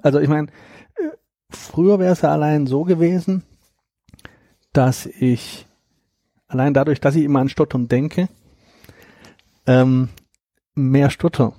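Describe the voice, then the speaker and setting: A man being interviewed about his book, talking in a relaxed, hesitant way with repeated words and fillers. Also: on the high side, unhurried, pauses often, no echo, clean studio sound. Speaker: guest, author of a book on stuttering. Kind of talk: conversational interview.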